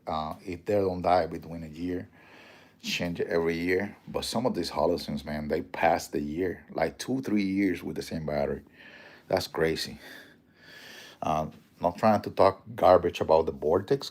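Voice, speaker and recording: Puerto Rican man, very deep, casual. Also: moderate pace, darkish tone. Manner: rambling, reads and answers viewer comments; loose, swears casually. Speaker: livestream host. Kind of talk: casual livestream chat.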